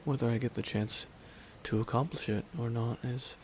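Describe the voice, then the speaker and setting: A man playing a grieving, resigned supervillain in a dramatic ASMR roleplay, speaking a slow, deliberate, solemn monologue with long pauses. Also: mid-range voice, steady tone, a little mellow, a little hiss or hum, lightly acted. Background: rain falling.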